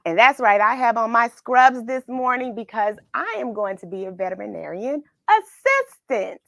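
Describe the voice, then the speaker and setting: A woman's voice: a little high, lively intonation, moderate pace, creaky, a bit bright, dry recording. An American woman hosting a read-along for children, chatting with viewers, asking them questions and encouraging them. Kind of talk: chatty livestream greetings and story reading for children.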